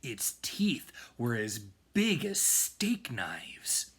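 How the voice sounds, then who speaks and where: A man reads a children's storybook aloud at a slow, deliberate pace. His delivery is dramatic and lively, with big swings in pitch and hushed, suspenseful phrasing. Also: husky. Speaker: music teacher reading aloud.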